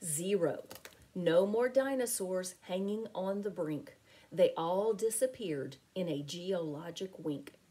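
Middle-aged female teacher for picture book read-aloud: mellow, slightly husky, sing-song delivery. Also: on the low side, slow. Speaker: teacher reading aloud to children. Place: small home room with window blinds.

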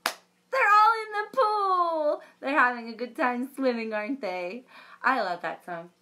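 A woman in her thirties, a children's librarian, reading a picture book and leading a sing-along for young children in a lively storytime manner. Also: high-pitched, unhurried, a little hiss or hum. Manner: bright, animated storytime voice. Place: home room with a bookshelf backdrop.

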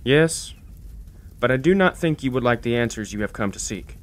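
Grumpy old blacksmith god, acted by a man, bitter and rambling, mid-pitched, audio drama. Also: animated, moderate pace, lightly acted. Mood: grave warning. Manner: grumbling, rambling about old grievances.

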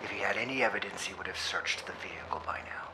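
An actor playing a cold, controlling criminal handler on a phone call in a radio drama, terse and commanding in a hushed, breathy voice. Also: male voice, sits low, quick pace, noisy background.

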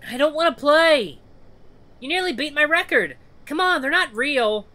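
Man as young child, story character, high-pitched, jeering, whiny, sharp bright tone. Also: lively intonation, normal speed, heavily acted. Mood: jeering, pleading. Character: taunting, whining young children daring each other.

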